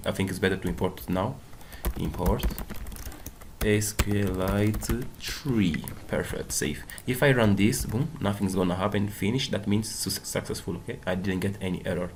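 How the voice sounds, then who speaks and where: Brief: a man, native Portuguese speaker, lowish voice, casual coding tutor, keyboard clicks under a faint hiss. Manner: casual, repeats "okay" and "boom" often; non-native English with small slips. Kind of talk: screen-recorded coding walkthrough.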